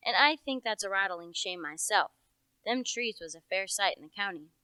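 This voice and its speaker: A woman's voice: medium pitch, animated, moderate pace, sharp tone, clean tone, no room sound. A young woman playing a folksy, opinionated country police officer in a radio play, with a rustic, knowing, matter-of-fact manner. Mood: confiding. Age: young adult.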